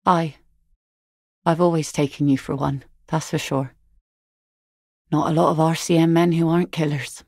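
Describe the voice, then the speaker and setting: A woman's voice: deep voice, gravelly, darkish tone, no room sound. An actress voicing a weary working-class fisherwoman in a game, blunt and matter-of-fact. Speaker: game character voice lines. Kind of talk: video game voice acting. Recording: dry close studio recording.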